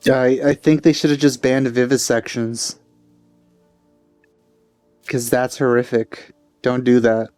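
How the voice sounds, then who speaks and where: Mellow man rambling over a video game. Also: mid-range voice, level tone, takes it slowly, long pauses, clean tone, faint music behind. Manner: rambling, hesitant, self-correcting. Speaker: let's play host. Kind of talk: casual gaming commentary.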